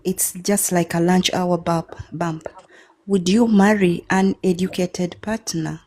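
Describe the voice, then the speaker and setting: A woman's voice: fairly low pitch, steady tone, slow, a little mellow. A woman with a Ugandan accent hosting a casual live discussion with guests.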